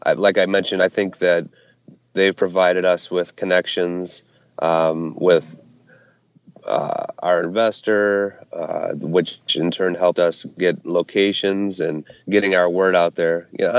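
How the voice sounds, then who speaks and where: Low, flat-voiced man, hesitant talker. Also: a little slow, clean tone, no room sound, muffled recording. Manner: hesitant, many fillers and restarts. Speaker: brewery co-founder, guest. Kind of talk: casual interview answers. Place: Ohio hometown brewery.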